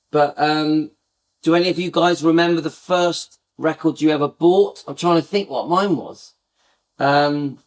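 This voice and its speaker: A middle-aged man hosting a casual live fan chat, talking to viewers in a rambling, reminiscing way with many restarts. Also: on the high side. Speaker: fan channel host.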